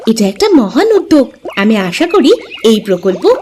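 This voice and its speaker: A woman's voice: on the high side, big pitch swings, normal speed, a little mellow, a little hiss or hum. A woman voicing a friendly, earnest talking fish shopkeeper in a cartoon, speaking in formal little speeches. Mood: encouraging. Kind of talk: scripted cartoon dialogue.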